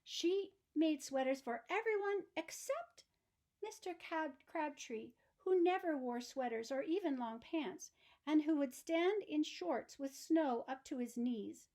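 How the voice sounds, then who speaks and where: A woman reading a children's storybook aloud in expressive narration, with deliberate, emphatic phrasing for young listeners. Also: high voice.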